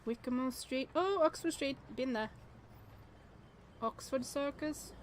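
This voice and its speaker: A woman streaming a game with casual, expressive commentary, thinking aloud and reading on-screen text as she goes. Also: high-pitched, takes it slowly, slight background noise.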